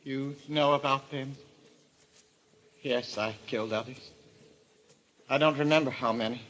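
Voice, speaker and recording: An actor playing a mad murderer who believes a destroyer god possesses him, fervent and theatrical, in old radio mystery style. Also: male voice, mid-range voice, steady tone, fairly bright tone, a little hiss or hum, close to an everyday voice, low-quality recording. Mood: exalted. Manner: fervent, theatrical, halting when afraid.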